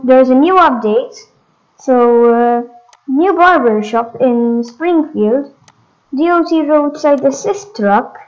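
A gaming host giving a casual walkthrough of game updates, hesitant and thinking aloud, on a dull lo-fi microphone. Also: sits high.

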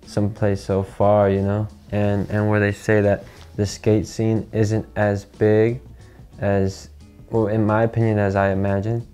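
A man's voice: lowish voice, warm tone, clean tone. A young man from California answering interview questions hesitantly, filling with many ums and repeats, on a lapel mic. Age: young adult. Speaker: pro skateboarder.

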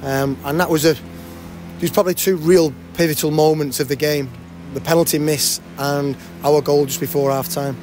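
A man in his forties, a football manager, giving a post-match interview at a stadium with a handheld mic. He talks fast and often restarts and repeats words. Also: on the high side, fairly even intonation, darkish tone, faint music behind. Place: outdoors by a cafe banner at a stadium.

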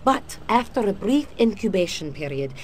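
A woman's voice: medium pitch, very animated, moderate pace, darkish tone, close to an everyday voice. A sultry, cool-headed female KGB agent speaks with a Russian accent, voiced by an actress. She talks in a dry, self-assured way, with a lethal edge. Her video game cutscene plays over a faint ambient hum. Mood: serious. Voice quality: cool, confident, dry delivery.

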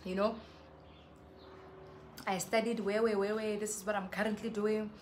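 A young woman from South Africa talks straight to the camera in a soft, mid-pitched voice. She is chatty and earnest and keeps calling her viewers "guys." She is recorded close on the camera mic on an outdoor patio, with a faint hum of ambience behind her. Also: level tone, moderate pace. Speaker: YouTuber. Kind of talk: casual vlog chat to camera. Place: covered patio outside a house.

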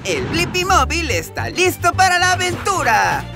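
A woman voicing a curious, excitable childlike male explorer in an educational children's cartoon dub. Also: very high-pitched, moderate pace, full character voice. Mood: cheerful, eager. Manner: exclamatory, wondering. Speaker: lead character of the show. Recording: music under the voice. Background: music.

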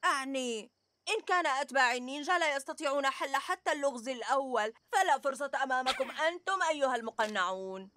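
A woman's voice: high-pitched, lively intonation, fast, sharp tone, a little hiss or hum. A woman voicing a boastful, scheming boy ninja villain in a cartoon dub, with a mocking, taunting manner. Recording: music over the voice.

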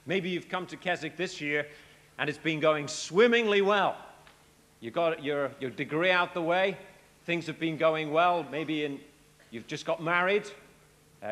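A middle-aged man with a British accent preaching a sermon at a convention, animated, slipping into acted voices when he tells stories. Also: fairly high pitch, big-room sound. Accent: British English. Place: large convention tent.

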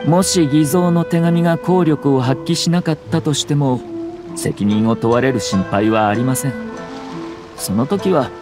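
A man's voice: mid-range voice, unhurried, over music, natural voice. An actor playing a shrewd young nobleman in a video game, speaking in a polite, composed, formal manner. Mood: measured, analytical. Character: courteous, shrewd young nobleman and clan head. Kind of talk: video game character dialogue.

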